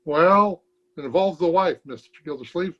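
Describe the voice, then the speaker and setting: A man's voice: a little high, moderate pace, sharp tone, dull audio. A man playing a folksy, wisecracking, stubborn barber in an old-time radio comedy, recorded at home over a video call. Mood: sly. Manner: folksy, colloquial, chuckling drollery.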